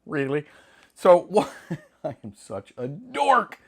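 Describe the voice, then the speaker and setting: A middle-aged man with a slightly hoarse voice, chatting casually to camera in a rambling, self-deprecating way with jokey asides. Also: a little high, big pitch swings, slow pace. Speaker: film hobbyist channel host.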